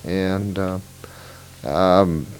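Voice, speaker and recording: A man's voice: sits low, fairly even intonation, very slow, clean tone, rough recording. A man in his fifties from Minnesota, recalling his past in an oral history interview, meandering with fillers and self-corrections. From Moorhead, Minnesota. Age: 50s.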